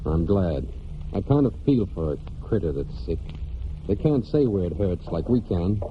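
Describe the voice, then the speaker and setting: An actor in a 1940s American radio drama playing a brooding young farm man and war veteran, speaking plainly and haltingly in rustic phrases. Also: deep voice, sing-song, a little hiss or hum. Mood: gentle, laconic. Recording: muffled 1940s radio broadcast with music.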